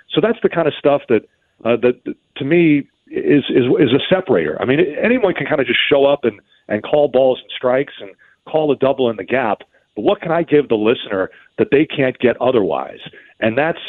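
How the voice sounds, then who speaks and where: A man giving earnest, detailed interview answers as a baseball broadcaster, often stammering into restarts. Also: mid-range voice. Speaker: baseball radio play-by-play broadcaster, guest. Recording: thin phone-like line.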